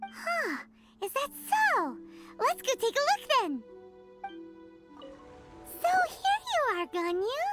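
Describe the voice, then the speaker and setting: A woman voicing a tiny, excitable childlike fairy companion in a fantasy game, bubbly and exclamatory. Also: very high-pitched, takes it slowly, pauses often, loud music behind the voice, exaggerated voice. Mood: excited. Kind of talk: scripted game dialogue.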